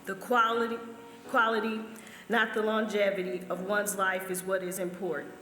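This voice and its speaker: A woman reads quotations aloud at a middle pitch. Her voice rings in a reverberant hall with soft music underneath. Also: little pitch movement, moderate pace. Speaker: church member reading.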